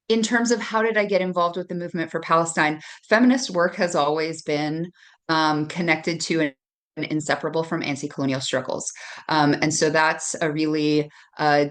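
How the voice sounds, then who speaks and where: A young adult woman from Hawaii speaking earnestly as a panelist, with frequent fillers. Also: low voice. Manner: earnest, many um fillers, occasional laughs.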